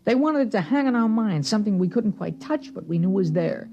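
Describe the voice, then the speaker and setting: A man's voice: high voice, expressive, brisk, warm tone, muffled recording. An actor playing an earnest, disapproving small-town boy who narrates in a 1950s radio drama. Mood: reflective. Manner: earnest, plain-spoken. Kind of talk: 1950s radio acting, first-person narration and dialogue. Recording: restored vintage radio recording.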